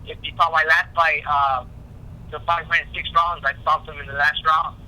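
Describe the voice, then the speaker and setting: A man speaking as a boxer in a casual phone interview, halting and repeating himself, with frequent "you know". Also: a little high, little pitch movement, pushed and bright. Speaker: professional boxer being interviewed. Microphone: telephone call. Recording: tinny phone line.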